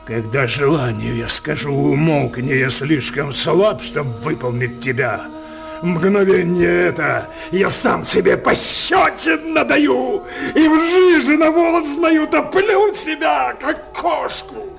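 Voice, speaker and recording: A man's voice: sits high, expressive, takes it slowly, gravelly, full character voice. An actor playing a power-hungry, wrathful old king in a theatrical radio play, declaiming in an imperious, passionate way. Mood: raging. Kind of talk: theatrical verse radio drama acting. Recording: music behind the voice.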